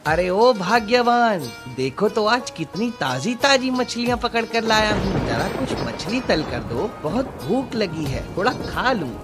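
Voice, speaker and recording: A man voicing a cheerful, carefree village fisherman husband in an expressive, affectionate Hindi cartoon style. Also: high-pitched, a little slow, hardly any pauses, music in the background.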